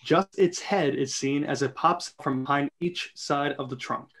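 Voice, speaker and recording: A man's voice: on the high side, fairly even intonation, measured pace, a bit bright. A man narrating screenplay stage directions in an amateur group reading.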